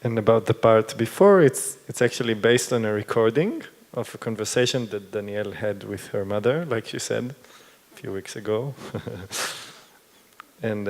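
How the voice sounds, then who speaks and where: A man's voice: lowish voice, darkish tone. A man, one of the performers, chatting about how a scene was made during a post-performance discussion. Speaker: performer in post-show talk. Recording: reverberant hall.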